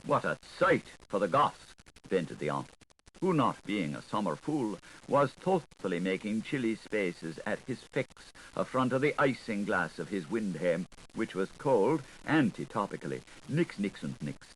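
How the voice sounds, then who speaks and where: A man narrating a literary audiobook in an expressive, theatrical way, playful with wordplay and sound effects. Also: fairly low pitch, moderate pace, low-quality recording. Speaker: audiobook reader. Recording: 1980s audiobook recording with audible background noise.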